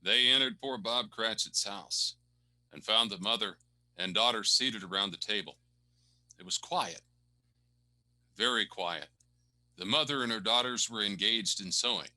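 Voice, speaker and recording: An actor narrating a Victorian ghost tale as a solemn storyteller in a radio play. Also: male voice, lowish voice, a little slow, pauses often, pushed and bright.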